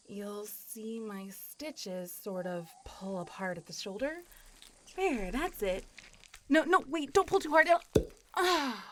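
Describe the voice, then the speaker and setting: A woman playing a chatty, playful, good-humored female zombie in a casual, teasing, expressive first-person roleplay audio. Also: a little high. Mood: playful, inviting, then alarmed, exasperated. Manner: giggly, teasing, expressive, mildly sweary. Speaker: voice actor.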